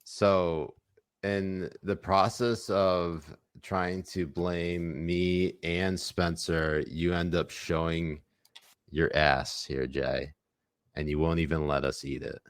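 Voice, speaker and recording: Man, podcast co-host, very low voice, crude teasing banter, dry close mic. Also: flat delivery, slow, clear, resonant tone, dry recording.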